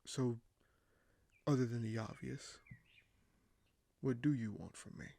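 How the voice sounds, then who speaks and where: An actor playing a scheming, courteous young king in an audio roleplay, speaking formally and hesitantly with deliberate pauses. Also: mid-range voice, fairly even intonation, vocal fry, dark tone. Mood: earnest, probing. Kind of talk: audio roleplay acting, addressed to the listener.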